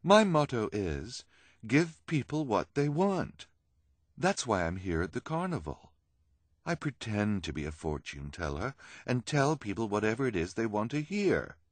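A man voicing an ashamed, tearful woman speaking softly without any accent. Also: on the low side, sing-song, dry recording, pitched above the performer's own voice. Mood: sad explaining. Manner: soft, unaccented, halting. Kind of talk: audiobook character voice.

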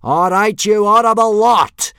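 A man's voice: high-pitched, unhurried, creaky, bright, forceful tone, clean tone, no room sound, clean studio sound, pitched above the performer's own voice, full character voice. A man voicing a bad-tempered Viking warrior instructor in a storybook, blustering and barking like a drill sergeant. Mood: menacing, bellowing.

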